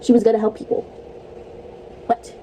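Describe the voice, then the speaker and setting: A woman's voice: moderate pace, dark tone, a little hiss or hum, low-quality recording. A young woman vlogging casually about movies, chatty and rambling, laughing often, her voice a bit stuffy and tired. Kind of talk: casual movie review vlog.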